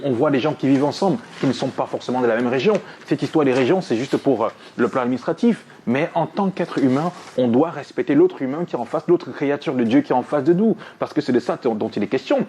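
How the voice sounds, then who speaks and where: Male radio host, rapid delivery, faint noise behind. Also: medium pitch, darkish tone. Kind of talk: radio talk and presenting.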